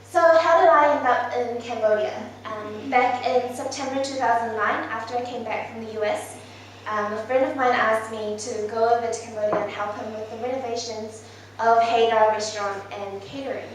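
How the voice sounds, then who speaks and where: A young woman gives a presentation to her church, her voice sitting a little high. She talks informally with plenty of ums. The recording is heard from a distance across a very echoey hall. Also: steady tone, normal speed, a little hiss or hum. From Malaysia, raised in New Zealand. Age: 20s. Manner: informal, with frequent ums and soft laughs. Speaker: church speaker presenting her mission work. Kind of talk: presentation talk to a church congregation.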